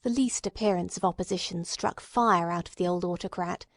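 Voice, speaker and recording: A woman reading scripted literary narration in a measured storytelling manner, with acted dialogue. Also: lowish voice, no echo.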